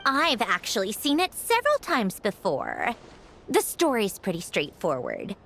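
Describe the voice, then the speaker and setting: A woman playing a composed, analytical young woman in a video game, frank and measured. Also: medium pitch, wildly swooping pitch, gravelly, a bit bright, slight background noise, heavily acted. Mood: reflective, appreciative. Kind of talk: video game dialogue acting. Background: game music.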